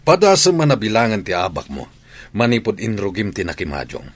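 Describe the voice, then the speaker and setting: A man's voice: on the low side, big pitch swings, brisk. An actor with an Ilocano accent playing a stern, worried family man in a radio drama, scolding and questioning. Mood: reproachful. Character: stern, worried family man fretting over a relative's gambling.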